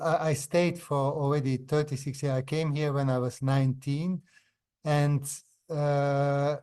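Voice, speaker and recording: A man in his fifties from Belgium, not a native English speaker, giving a webinar talk as a historian over a video call, pausing often with fillers and restarts. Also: mid-range voice, a little mellow, clean tone. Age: 50s. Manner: hesitant, frequent fillers and restarts.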